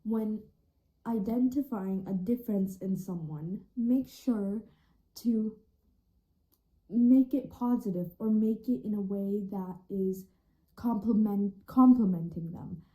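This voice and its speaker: A woman's voice: mid-range voice, takes it slowly, mellow, low-quality recording. A seventeen-year-old female student from Denmark giving a reflective personal account in casual, youthful phrasing. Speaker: high school student.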